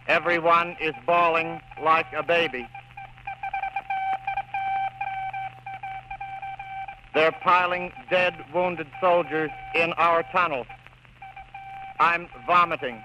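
Guy playing worn out despairing army radio operator in old radio drama, slow monotone clipped bits, lots of noise and beeping. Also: a little high. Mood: despairing, drained. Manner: halting, clipped, monotone phrases. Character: exhausted, despairing young army radio operator under siege. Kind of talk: slow dramatic reading of coded radio messages. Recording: noisy old radio with code beeps.

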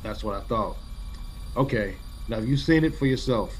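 A man playing a stern, practical colonial executive in a classic radio play, speaking with measured authority. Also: lowish voice, animated, a little hiss or hum, close to an everyday voice.

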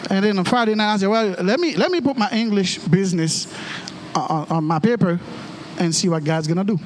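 A man with a Haitian accent, a native Creole and French speaker, preaching a storytelling sermon in an animated way and acting out dialogue with exclamations. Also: high voice, a little fast, slight background noise.

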